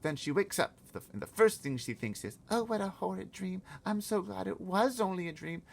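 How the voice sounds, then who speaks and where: A man acts out a little storybook girl with a plan. The voice is lifted high and moves in lively swings. She is bossy, confident and eager, spelling things out with firm emphasis. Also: normal speed, gravelly, exaggerated voice. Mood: eager, explaining. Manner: emphatic, insistent, explaining. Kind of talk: storybook read-aloud.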